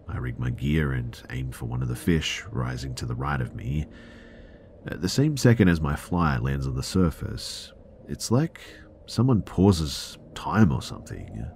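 Male horror-story narrator, exceptionally deep pitch, measured and suspenseful delivery, faint ambient noise underneath. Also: a little mellow. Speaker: narrator of listener horror stories. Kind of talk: scary first-person storytelling.

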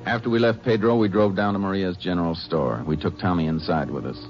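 An actor playing a calm, methodical, kindly Texas Ranger who narrates and acts in an old radio drama. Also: male voice, low voice, quick pace, over music, natural voice. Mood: matter-of-fact narration. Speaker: lead and narrator. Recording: muffled, noisy old radio recording.

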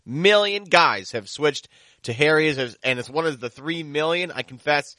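A man's voice: on the high side, moderate pace, sharp tone, no room sound. A man hosting a sports podcast, talking emphatically and piling up superlatives. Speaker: podcast host. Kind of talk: sports talk and host-read ad.

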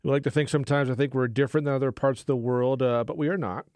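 A man hosting a talk radio show from a studio, speaking in an earnest, measured way with filler pauses. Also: medium pitch, steady tone, a little mellow, no echo. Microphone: studio microphone.

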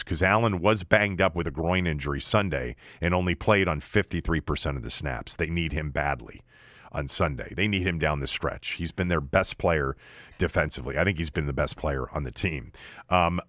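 Very deep-voiced man talking sports. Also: fairly bright tone, dry recording, clean studio sound. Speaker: sports podcast host. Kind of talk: sports news commentary and analysis.

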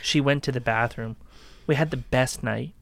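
A man's voice: medium pitch, moderate pace, creaky, a bit bright. A man playing a gloating, obsessive ex-boyfriend and killer in a dramatic reading, talking in a chillingly casual, taunting way. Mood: calm, confessional.

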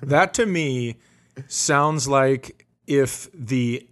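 A man's voice: medium pitch, extremely slow, a bit bright. Dry, wry man with mid-range voice. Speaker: comedian and podcast host. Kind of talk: casual comedic chat.

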